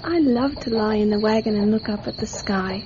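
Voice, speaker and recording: A woman's voice: mid-range voice, brisk, natural voice. A woman playing a wistful, stubborn young farm girl in a radio drama. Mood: sleepy, wistful. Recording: dull, noisy radio recording with crickets.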